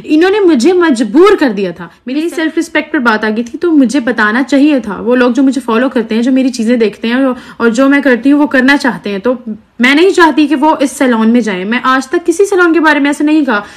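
A woman's voice: high voice, expressive, brisk, hardly any pauses. A young woman, talking earnestly and indignantly to her followers into a phone held at arm's length indoors. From Pakistan. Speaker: social media creator. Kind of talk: selfie video complaint to followers.

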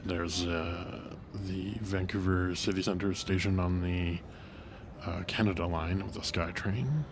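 Low monotone guy giving a walking tour outside, lots of uhs, traffic noise behind. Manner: halting, many fillers and restarts. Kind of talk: casual walking tour narration. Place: city street outdoors with traffic. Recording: noisy street traffic behind.

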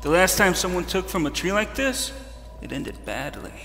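An actor playing a cautious, anxious soldier in an audio drama, with an urgent, nervy manner. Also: male voice, sits high, very animated, normal speed, a bit bright, soft background music, exaggerated voice. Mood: alarmed. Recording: reverberant cave effect.

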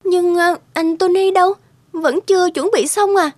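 High-pitched, anxious young cartoon woman. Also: moderate pace, darkish tone, dry recording, clean studio sound. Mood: puzzled. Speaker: voice actor in an animated moral tale. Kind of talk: dubbed cartoon dialogue. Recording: music behind the voice.

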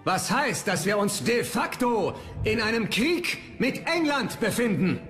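A man's voice: sits high, sing-song, measured pace, a bit bright, soft background music, exaggerated voice. An actor playing a stern statesman giving war orders in a historical radio play, formal and declamatory. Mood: resolute.

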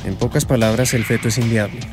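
An actor with a Colombian accent playing a grave, formal gynecologist in a radio drama. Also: male voice, medium pitch, steady tone, fast, music in the background, close to an everyday voice. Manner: grave, clinical.

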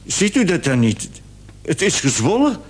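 An anxious man seeking medical help in a radio drama. His voice sits slightly high, and he speaks haltingly and pleadingly, often doubling back on his words. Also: big pitch swings, breathy edge. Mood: hesitant, uneasy. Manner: pleading, hesitant, repeats himself. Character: anxious, hesitant male patient with swollen face. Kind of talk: Dutch radio play acting.

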